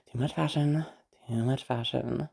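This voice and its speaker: A young woman acting in an audio roleplay as a caring, teasing party host, talking in a rambling, hesitant, softly reassuring way. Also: very deep voice, fairly even intonation, takes it slowly, creaky, husky, exaggerated voice. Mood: wry, exasperated. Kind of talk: audio roleplay, naturalistic one-sided dialogue.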